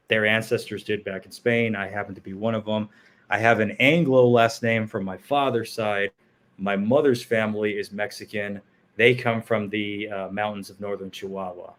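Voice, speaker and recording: A man co-hosting a casual online chat show over an internet call, blunt, opinionated and joking. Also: lowish voice. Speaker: co-host. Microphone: home setup over internet call.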